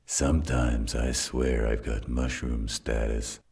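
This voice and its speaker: A gruff demon dad in a fantasy audio drama. The voice is extremely low and very husky, rolling out slowly. He sounds protective and reassuring. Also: little pitch movement, a little mellow, no room sound, exaggerated voice. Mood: puzzled, wry. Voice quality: very deep, gravelly husky voice. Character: gruff, protective male demon father in a fantasy werewolf tale. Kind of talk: fantasy audio roleplay acting.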